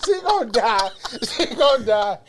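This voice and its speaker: A woman's voice: on the high side, big pitch swings, normal speed, over a noisy crowd. Blunt, crude-humored woman in her thirties. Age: 30s. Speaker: podcast co-host. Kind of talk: casual chat.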